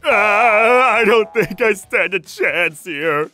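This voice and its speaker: A man voicing an excitable, goofy, girl-crazy young hiker with over-the-top dramatic pleading in a manga dub. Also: high-pitched, takes it slowly, soft background music, heavily acted. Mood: wailing, dejected.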